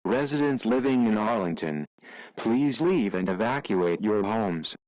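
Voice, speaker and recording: A man voicing a stern, impersonal military alert announcer with a flat, official delivery. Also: lowish voice, a little slow, no room sound, low-quality recording. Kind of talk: formal emergency broadcast announcement.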